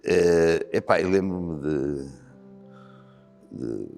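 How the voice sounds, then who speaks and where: An older man speaks with a European Portuguese accent in an extremely deep, mellow voice. He answers slowly and thoughtfully, pausing often to find his words. The recording carries a long hall reverb with faint music underneath. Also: expressive, gravelly. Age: 60s. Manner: thoughtful, with frequent hesitations. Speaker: volunteer fire commander. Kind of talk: reflective interview answers.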